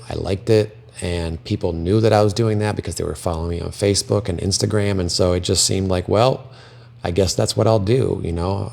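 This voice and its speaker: A man's voice: low-pitched, vocal fry, a little mellow. A young man raised in Belgium with French as his first language, earnestly telling his own life story. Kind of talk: personal testimony.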